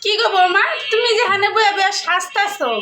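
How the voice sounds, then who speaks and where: A woman's voice: extremely high pitch, steady tone, quick pace, background noise. Woman playing a bossy old mother-in-law. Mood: scolding. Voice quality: whiny wailing scolding. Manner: nagging, melodramatic crying fits. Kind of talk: comedy skit acting.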